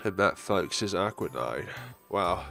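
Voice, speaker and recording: A man talking in an earnest, reflective, halting way as a gaming channel host. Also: sits low, lively intonation, bright, forceful tone, faint music behind. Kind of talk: reading visual novel text, reflective commentary.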